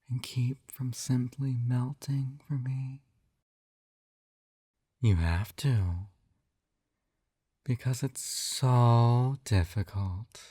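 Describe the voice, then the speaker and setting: A man playing a possessive, smugly tender hypnotist captor in an intimate ASMR roleplay, coaxing and teasing in a soft hushed voice that drifts into whispers. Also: medium pitch, dry recording, studio quality.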